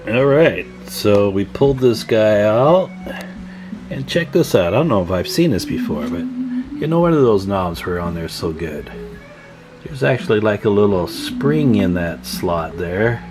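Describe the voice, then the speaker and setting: A man giving a casual hands-on radio repair tutorial, thinking aloud in a folksy, informal way. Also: fairly low pitch. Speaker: radio repair hobbyist hosting a tutorial video.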